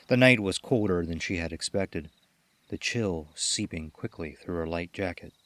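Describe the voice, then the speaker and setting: A man's voice: deep voice, no room sound. A man reading a scripted horror story as its narrator. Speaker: audiobook narrator.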